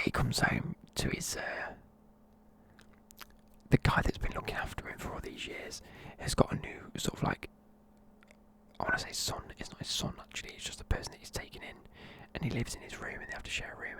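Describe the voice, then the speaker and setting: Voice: medium pitch, wildly swooping pitch, a little fast, gravelly, fairly bright tone. A tired, sleepy speaker, whispering a rambling film review close to the mic in the style of an ASMR video. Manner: rambling, loose, lots of fillers. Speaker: YouTuber reviewing films.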